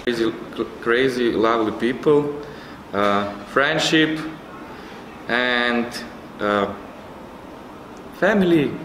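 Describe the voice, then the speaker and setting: A man shares a casual story in a halting way. His voice is medium in pitch, with room echo and faint noise behind it. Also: a bit bright. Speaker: youth network member. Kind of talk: casual anecdote.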